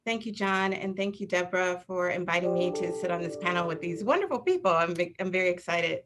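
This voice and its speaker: Wanita dengan suara agak rendah, panggilan video. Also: female voice, fairly low pitch, lively intonation, fairly quick, music in the background. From Detroit. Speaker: panelist. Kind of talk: introducing herself on a video call. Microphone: video call.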